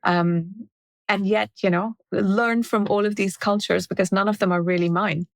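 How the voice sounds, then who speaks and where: A woman in her forties, from India, speaks as a podcast guest. She reflects in a measured, storytelling way, with a gravelly texture and a middle-range pitch. The sound is close and dry. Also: fairly even intonation, no room sound. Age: 40s. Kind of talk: casual interview conversation.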